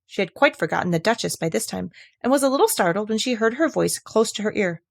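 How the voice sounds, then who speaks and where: Creaky-voiced woman reading a storybook. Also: medium pitch, expressive, fast. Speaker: audiobook reader. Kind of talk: scripted storybook narration.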